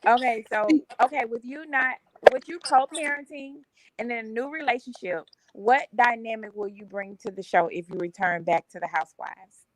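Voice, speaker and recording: A woman calling in on speakerphone with a soft voice, polite and quick. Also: on the high side. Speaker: caller. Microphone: phone on speakerphone. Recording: staticky noisy phone line.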